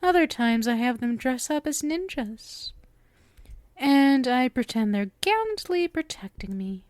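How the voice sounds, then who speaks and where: A woman's voice: high-pitched, sing-song, unhurried, a little mellow, dry recording. A woman playing a sweet, obsessive witch in an ASMR roleplay, coy and affectionate, speaking to the listener close to the microphone. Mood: gleeful, proud. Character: sweet, doting, obsessive yandere witch, playful and lovestruck.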